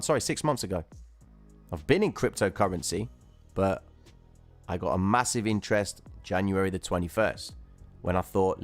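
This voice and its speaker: A man hosting a livestream, casually explaining chart trading and chatting with viewers who comment. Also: low-pitched. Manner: chatty, reads viewer chat, calls viewers guys and bro.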